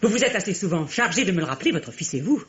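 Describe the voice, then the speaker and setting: An actress playing a bitter, unhappy middle-aged wife in a French radio drama, halting and repeating words with volatile emotion. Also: female voice, lowish voice, very animated, slight background noise. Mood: hysterical, mocking. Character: bitter, grey-haired, unhappy wife, trapped and theatrical.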